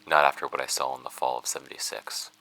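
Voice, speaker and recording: A man's voice: very deep voice, level tone, fast talker, vocal fry, rough recording. An American man voicing the dry, world-weary memoir of a jaded woman who hunted monsters on television, in an audio drama. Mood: dry, confessional. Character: jaded woman TV bigfoot hunter reading her confessional memoir. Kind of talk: first-person memoir narration.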